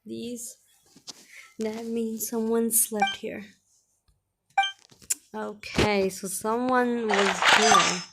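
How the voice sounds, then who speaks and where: A woman's voice: on the high side, steady tone, very slow, pauses often. A woman giving casual commentary while playing a video game, in a very noisy recording. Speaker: gamer playing through a quest.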